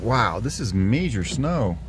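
Homme enjoué, voix grave, dans une voiture. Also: male voice, on the low side, big pitch swings, slow pace. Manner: upbeat, chatty, easily impressed. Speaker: channel host filming from the passenger seat. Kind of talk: casual running commentary. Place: inside a car driving through a snowstorm. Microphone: handheld phone camera. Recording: loud car and road noise.

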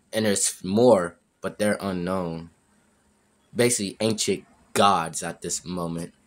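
A man as a low-voiced, ominous seasoned fighter. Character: seasoned, cocky male fighter mentoring a newcomer. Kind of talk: amateur game roleplay story acting.

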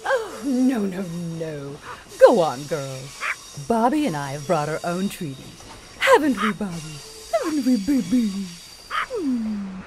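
An actress playing a chatty, eccentric older widow who tells folklore in a grandiose, theatrical way in an audio drama. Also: female voice, mid-range voice, sing-song, takes it slowly, a crowd in the background. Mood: doting. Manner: theatrical storytelling, grandiose diction.

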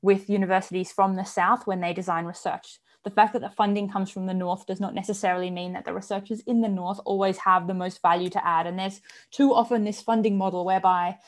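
A woman's voice: medium pitch, steady tone. Earnest Australian woman panelist, thirties, talking over a video call from home. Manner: earnest, fluent, measured with some restarts. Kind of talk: online panel discussion. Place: home room with bookshelves. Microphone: video call, earphones.

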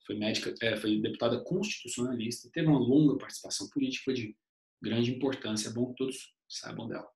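Man, 30s, online history teacher; casual, chatty delivery; webcam audio with room echo. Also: fast talker. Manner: chatty, uses "né?" as a constant tag, animated pitch swings. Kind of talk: online lecture over slides.

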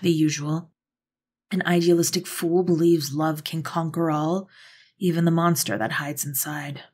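Low, husky, earnest witch played by a woman. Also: steady tone, a little slow, darkish tone. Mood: grave, desperate. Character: earnest, persistent female witch, pleading go-between. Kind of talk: audio roleplay drama acting.

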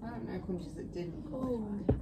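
A woman's voice: mid-range voice, a little fast, mellow. A woman, talking casually and hesitantly in a family game.

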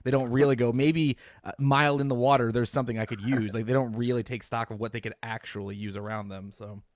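Man, thirties, rapid speech, dry close-miked sound, casual explanatory podcast talk. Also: medium pitch, steady tone, no room sound. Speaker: podcast co-host. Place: home room, indoors. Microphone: studio microphone close to mouth.

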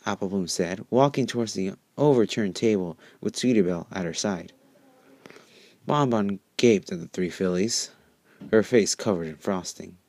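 A man's voice: on the low side, moderate pace, darkish tone, no echo. A man narrating a fan story in an informal amateur reading, sometimes stumbling over words.

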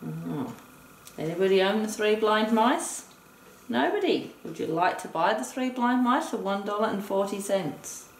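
A woman gives an instructional play-through of a board game, guiding her partner one step at a time. Her medium-pitched voice sits back from the mic in a roomy indoor space. Faint noise runs behind her. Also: lively intonation, unhurried, far from the mic. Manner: explains step by step, counting aloud. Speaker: teacher demonstrating a board game. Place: indoor room, game on a table.

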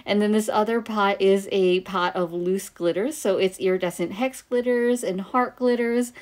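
Hoarse woman, casual nail vlogger voiceover, relaxed chatty delivery, close mic. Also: lowish voice. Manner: chatty, easygoing, thinking aloud. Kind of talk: voiceover for an unboxing and manicure video.

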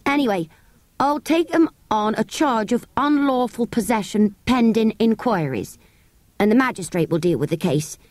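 A woman voicing an officious working-class English policeman in a storybook, brisk and colloquial. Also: on the high side, animated, darkish tone, no echo, pitched above the performer's own voice, lightly acted.

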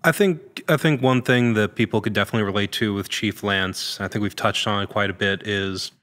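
A man's voice: on the low side, brisk, fairly bright tone. Man chatting casually on a podcast. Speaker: actor being interviewed. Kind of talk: casual conversational podcast interview. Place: large indoor room with hard floor and open ceiling. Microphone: podcast microphone on a boom arm.

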